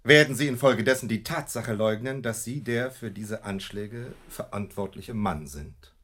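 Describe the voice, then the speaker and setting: An actor playing a brilliant, relentless detective in a German radio drama, speaking in a theatrical, precise, formal manner. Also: male voice, lowish voice, moderate pace, a bit bright. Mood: relentless interrogation. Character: brilliant, relentless male detective, haughty and obsessively accusatory.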